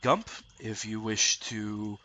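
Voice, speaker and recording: A man narrating as dungeon master of a tabletop game, halting with many fillers, congested with a lingering cough. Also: fairly low pitch, fairly even intonation, bright, forceful tone. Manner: halting, many ums and uhs.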